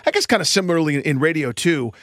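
A man's voice: a little high, lively intonation, rapid-fire, a bit bright, no echo, studio quality. A man co-hosting a sports podcast, asking questions in a casual conversational style. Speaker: podcast co-host, interviewer. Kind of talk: casual interview chat.

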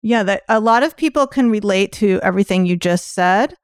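A woman hosting a podcast interview in a chatty style, jumping between quick asides and her next question. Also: medium pitch, clean tone, dry recording, studio quality. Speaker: podcast host. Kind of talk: casual interview chat. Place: New York.

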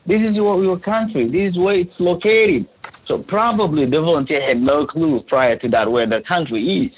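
Husky-voiced man from Sudan, earnest. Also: fairly high pitch, normal speed, muffled recording. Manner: earnest, repeats words when restarting. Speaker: refugee support services coordinator. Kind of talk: podcast interview.

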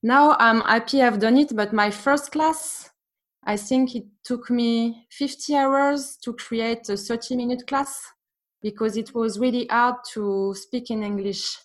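A woman's voice: a little high, fairly even intonation, measured pace. A woman with a French accent, a painting teacher who speaks earnestly and sometimes searches for words. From France. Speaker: art teacher, guest. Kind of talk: casual conversation.

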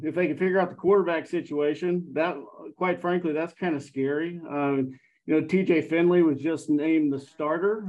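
A man joins a sports podcast as a guest and rambles with lots of fillers. His voice sits a bit high. The recording sounds dull and lo-fi. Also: a little mellow. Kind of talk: casual sports chat.

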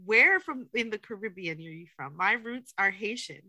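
A woman's voice: mid-range voice, animated, normal speed, dry recording. Affirming American woman podcast host. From United States. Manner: warm affirming, many mm-hmms. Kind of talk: casual interview and show outro.

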